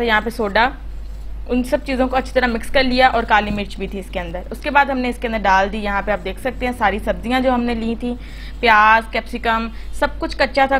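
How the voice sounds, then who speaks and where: A woman's voice: a little high, fairly bright tone, a little hiss or hum. Chatty young woman teaching a home recipe. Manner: chatty, instructive, many fillers. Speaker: cooking channel host. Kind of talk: voice-over cooking tutorial.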